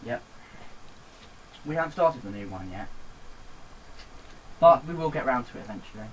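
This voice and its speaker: A man's voice: mid-range voice, animated, moderate pace, a little hiss or hum, poor audio. A man chatting casually as a co-host of a Let's Play gaming video.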